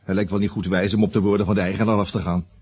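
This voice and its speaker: A man acting in a Dutch radio play as an earnest young estate agent, wry and animated, hesitant when challenged. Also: deep voice, very fast, slightly rough, highs cut off. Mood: aggrieved, sardonic. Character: earnest young estate agent, cheeky but meek before his boss.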